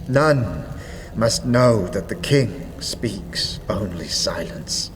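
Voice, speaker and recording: A man voicing an ancient, weary undead ruler who speaks in archaic thou-speech, grave and slow. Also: on the low side, lively intonation, big-room sound, a little hiss or hum.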